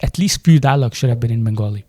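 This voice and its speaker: A man's voice: mid-range voice, rapid-fire, darkish tone, dry recording, studio quality. A man from Delhi with an Indian English accent and Hindi as his first language, thinking aloud and questioning as he reacts to a film trailer.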